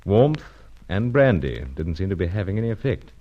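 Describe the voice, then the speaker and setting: A dull, lo-fi radio serial recording carries a gentlemanly secret agent. His voice is low and swings expressively through its phrases. He is earnest and polite, with clipped, theatrical delivery. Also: very animated, moderate pace. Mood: suspenseful narrating. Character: earnest gentlemanly British secret agent, adult man, narrating his adventures. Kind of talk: old-time radio adventure serial acting.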